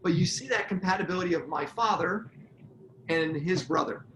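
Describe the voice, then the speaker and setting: A middle-aged man co-hosting a relationship talk show, jokey and animated, prompting his partner to explain. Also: high-pitched, moderate pace, a little hiss or hum. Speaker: podcast co-host. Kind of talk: casual chat. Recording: echoey room, faint ambience.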